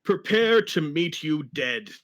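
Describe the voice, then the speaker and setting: A man's voice: on the high side, sing-song, takes it slowly, a bit bright, heavily acted. Silly menacing dictator villain played by a man, boastful and mocking, comic storybook reading. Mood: threatening.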